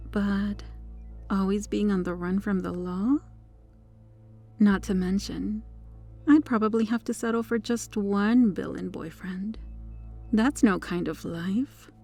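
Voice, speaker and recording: A smug, possessive superheroine played by a woman speaks in a mellow voice with plenty of creak at the edges. She is flirtatious and condescending, teasing her captive in an intimate ASMR roleplay. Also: mid-range voice. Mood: possessive seductive. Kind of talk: ASMR roleplay monologue addressed to the listener.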